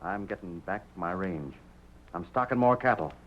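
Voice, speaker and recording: An actor playing a defiant young rancher in an old radio western, talking in folksy cowboy style. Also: male voice, sits low, lively intonation, moderate pace. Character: defiant young rancher finding his courage. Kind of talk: 1940s radio western acting. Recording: muffled, noisy old radio recording.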